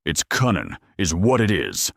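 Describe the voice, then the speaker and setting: A man's voice: sits low, wildly swooping pitch, unhurried, gravelly, a bit bright, dry recording, studio quality, pitched above the performer's own voice, heavily acted. A man voicing a cunning, self-assured ork tank-hunter in an audio story, with punchy, slangy speech. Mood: excited scheming.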